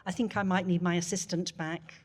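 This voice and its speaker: A woman's voice: on the low side, little pitch movement, fairly quick, a little mellow, big-room sound. A woman interviewing onstage, flustered and laughing while she struggles with her tech. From Britain. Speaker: interviewer, animator. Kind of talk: live onstage conversation. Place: outdoor terrace event.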